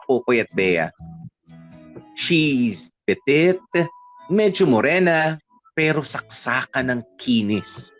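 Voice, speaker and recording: A Filipino man narrating a personal letter in the first person, dramatically and conversationally, slipping between two languages. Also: medium pitch. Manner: conversational, switches between Tagalog and English. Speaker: radio storyteller reading a listener's letter. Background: background music.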